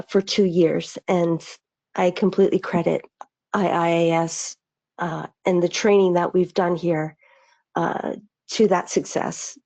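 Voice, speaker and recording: Middle-aged woman engineer on a video call, earnest, restarts a lot, warm mellow voice, kinda lo-fi. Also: fairly low pitch, gravelly. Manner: earnest, halting, many restarts and fillers. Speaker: aerospace engineer, webinar panelist. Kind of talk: reflective webinar remarks. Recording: slightly dull lo-fi call audio.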